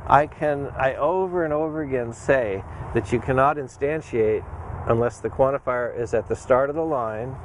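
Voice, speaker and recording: A man's voice: mid-range voice, moderate pace, slight background noise, rough recording. A male logic instructor explaining proofs at a whiteboard, emphatic and step by step.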